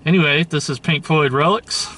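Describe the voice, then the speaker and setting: A man's voice: fairly high pitch, normal speed, bright, forceful tone, slight background noise. A man giving a casual, informal show-and-tell commentary, musing aloud and offering his opinions. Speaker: host showing a thrift store tape collection.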